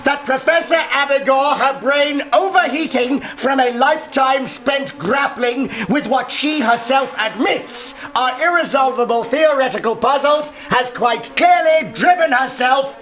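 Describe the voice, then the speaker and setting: A woman's voice: sits high, measured pace, few pauses, fairly bright tone, faint music behind. A woman playing a pompous, zealous chief prosecutor with sarcastic, declamatory delivery in a satirical radio drama. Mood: declamatory, triumphant.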